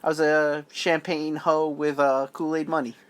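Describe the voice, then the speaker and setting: A man's voice: a little high, level tone, moderate pace, slight background noise. A man co-hosting a casual podcast, laid-back and crude, teasing his co-host.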